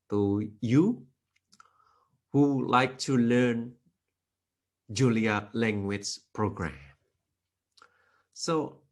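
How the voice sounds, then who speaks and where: A man with an Indonesian accent gives a programming tutorial in careful, non-native English, lecturer style. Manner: slow, careful, with non-native grammar slips; lively intonation with sudden pitch rises.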